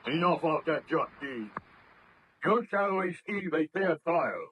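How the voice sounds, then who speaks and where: Formal stern official, old radio. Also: male voice, on the high side, animated, fairly bright tone. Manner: formal, measured, stagey. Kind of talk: 1930s radio horror acting. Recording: noisy old-time radio drama recording.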